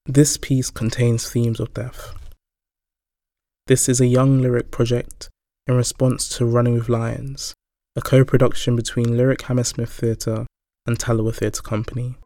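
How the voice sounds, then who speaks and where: A man's voice: medium pitch, flat delivery, a little slow, warm tone, no echo, clean studio sound. A man reading scripted credits as an announcer.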